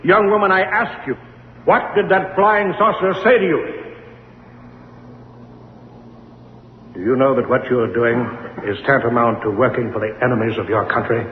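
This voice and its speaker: An actor playing a pompous, badgering American senator, formally interrogating in an old radio drama. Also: male voice, mid-range voice, a little fast, lightly acted. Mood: pressing, accusing. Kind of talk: vintage radio drama acting. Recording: muffled, echoey hall, noisy vintage radio.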